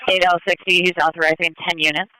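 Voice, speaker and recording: A man's voice: sits high, level tone, fast talker, pushed and bright, smooth, no room sound, poor audio. A man handling police radio traffic in a clipped, procedural manner, heard over a scanner.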